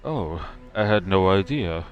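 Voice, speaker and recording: A smitten young cooking student in a comic dating sim , played by a man. The voice is on the low side, earnest and hesitant, with faint music behind it. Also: animated, unhurried, lo-fi sound. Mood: friendly. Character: smitten, sincere young culinary student protagonist.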